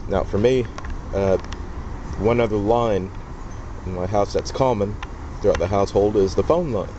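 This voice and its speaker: A man giving an informal hands-on tutorial as a DIY presenter, explaining step by step in a halting way. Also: fairly low pitch. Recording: dull lo-fi camera audio, loud noise.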